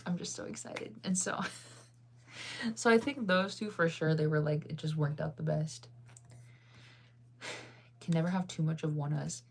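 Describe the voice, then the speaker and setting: Giggly, rambling woman with lowish voice. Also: a little slow, gravelly, pauses often, a little hiss or hum. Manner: rambling with many fillers and frequent laughs. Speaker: streamer chatting with viewers. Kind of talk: casual chat.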